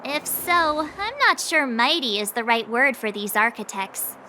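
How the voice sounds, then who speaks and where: A woman's voice: fairly high pitch, sing-song, unhurried, bright, forceful tone, soft background music, heavily acted, poor audio. A woman voicing a perky, curious young girl adventurer in a video game, bubbly, casual and outspoken. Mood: chatty, puzzled.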